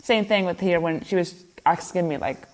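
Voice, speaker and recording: A woman's voice: on the low side, normal speed. A young woman whose first language is Spanish, chatting casually to camera in a vlog, teasing herself and laughing often. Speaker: vlogger.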